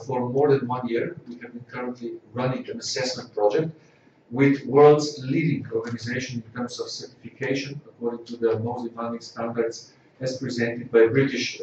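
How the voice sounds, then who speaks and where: A man from Slovenia giving a corporate results presentation, measured and full of filler "uh"s. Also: medium pitch. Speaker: company executive presenting results. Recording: echoey hall, distant dull mic, faint noise.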